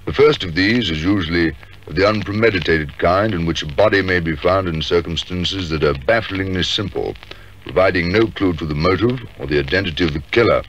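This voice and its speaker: A grave police detective narrating an old radio crime drama. The voice is very low, formal and measured, on a dull vintage recording. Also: male voice, a bit bright, slight background noise. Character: grave, authoritative police detective introducing a case. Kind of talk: scripted 1950s radio narration.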